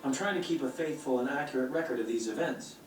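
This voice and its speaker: An actor playing a pompous, theatrical poet bard in a video game, declaiming in florid phrases. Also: male voice, mid-range voice, fast talker, lightly acted. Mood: pompous, wry. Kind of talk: video game cutscene acting. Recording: roomy distant game playback, music behind.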